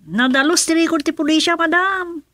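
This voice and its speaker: A woman's voice: very high voice, moderate pace, fairly bright tone, no room sound. An actress playing a polite, chatty household employee talking deferentially to her madam in an Ilocano radio drama. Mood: polite, earnest.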